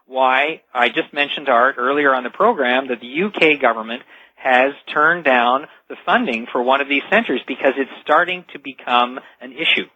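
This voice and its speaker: A man's voice: mid-range voice, moderate pace, slightly rough, no room sound. A man speaking as a radio show guest, explaining things earnestly in an interview. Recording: slightly dull lo-fi radio call audio.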